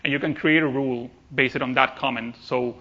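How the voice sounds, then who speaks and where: A man's voice: medium pitch, steady tone, brisk, sharp tone, muffled recording. A man presenting technical material at a conference, speaking hesitantly and often repeating words as he goes.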